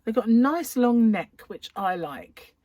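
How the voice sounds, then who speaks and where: A woman chatting casually to followers as a craft vlogger during an unboxing, gushing and admiring with breathy asides. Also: fairly high pitch, animated, a little slow, mellow, no echo, studio quality. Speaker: craft vlogger and yarn shop owner. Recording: clear background noise with crinkling wrappers. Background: crinkling cellophane, clicks and rustling.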